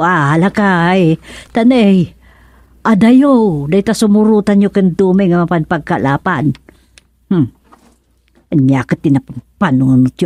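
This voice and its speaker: A woman's voice: deep voice, warm tone, dry recording. An actress playing a worrying, nagging older village wife and mother with an Ilocano accent in a radio drama comedy. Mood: scolding, anxious.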